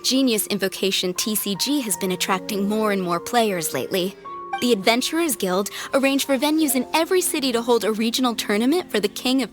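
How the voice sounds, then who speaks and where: A woman's voice: a little high, close to an everyday voice. Woman as a poised, teasing tavern owner. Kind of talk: video game character dialogue.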